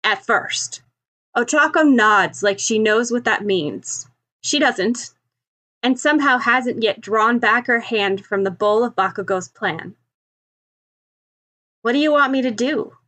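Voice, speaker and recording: A woman's voice: medium pitch, a bit bright. A woman reading scripted fiction aloud as the narrator of an audio story. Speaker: podfic narrator.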